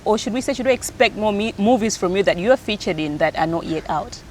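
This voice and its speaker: A woman's voice: medium pitch, animated, fast, darkish tone, a little hiss or hum. A woman interviewing a guest in a casual, chatty way, laughing easily and prompting him along.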